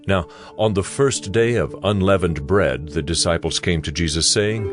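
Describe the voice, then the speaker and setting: A man narrating scripture from a script in a measured, solemn way. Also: deep voice. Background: music.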